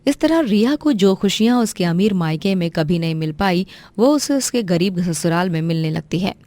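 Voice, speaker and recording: A woman's voice: lowish voice, animated, moderate pace, mellow, dry recording. Woman narrator, dry studio sound. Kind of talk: scripted moral-story narration.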